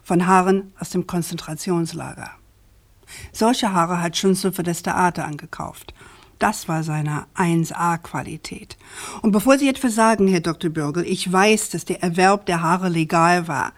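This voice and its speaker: An actress plays an investigative journalist in a radio crime drama. She has a slightly low female voice and presses her case in pointed, articulate argument, assertive and persistent. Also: normal speed, natural voice. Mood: grave, pointed.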